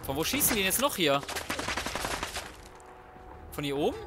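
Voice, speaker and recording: A man's voice: fairly high pitch, very animated, normal speed, very noisy. A man giving casual, colloquial let's play commentary, swearing and reacting aloud as he plays. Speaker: gaming YouTuber. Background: video game sound and music.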